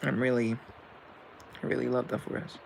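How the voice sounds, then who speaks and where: Rambling young man with gravelly voice. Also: medium pitch, monotone, normal speed, a little hiss or hum. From Colombia. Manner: rambling, earnest, with self-deprecating asides. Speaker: live show host answering chat. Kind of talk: casual live-stream chat, reading comments aloud.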